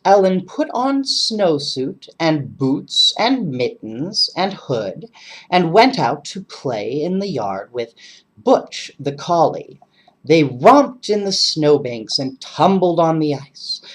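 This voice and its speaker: A bedtime story reader narrating in an animated storybook style, with chatty asides to children. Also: mid-range voice, slow.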